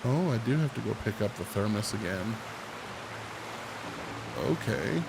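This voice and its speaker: A man's voice: lowish voice, lively intonation, unhurried, vocal fry. A man getting over a cold, sniffling as he plays a game and talks to himself, yelping at scares. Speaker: gameplay streamer. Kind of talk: casual let's-play commentary. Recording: heavy hiss over the voice.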